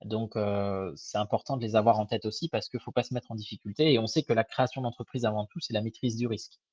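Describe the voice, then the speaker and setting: A young man with a slightly hoarse voice gives a casual webinar presentation as an advisor, hesitant and informal, with many fillers and restarts. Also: on the low side, fairly even intonation, fast, a little mellow, dry recording. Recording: dull, lo-fi web call audio.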